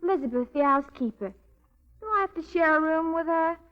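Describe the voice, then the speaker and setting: Woman doing cheeky young maid, super high voice, old radio play, muffled sound. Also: quick pace, natural voice. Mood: pert, coy. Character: pert young housemaid, forward yet servile. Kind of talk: 1940s radio drama acting. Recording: dull old radio broadcast recording.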